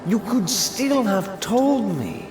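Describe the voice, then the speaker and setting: Man playing an agitated, highish-voiced sorcerer. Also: lively intonation, takes it slowly, reverberant, over music. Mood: accusing, angry. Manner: insistent, questioning, agitated. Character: troubled, accusing male sorcerer on the run. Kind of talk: audiobook character voice.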